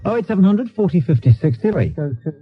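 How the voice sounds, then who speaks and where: A man's voice: sits high, big pitch swings, fast talker, husky. A British man hosting a live radio phone-in, talking in a sardonic, mock-indignant way. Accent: British English. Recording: lo-fi phone-like radio recording, faint music.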